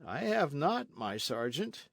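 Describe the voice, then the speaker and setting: A languid young gentleman sighs out long, weary phrases, pitched above the narration, as a man puts him on. The recording is dry and close. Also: very animated, slow, creaky, no room sound, full character voice.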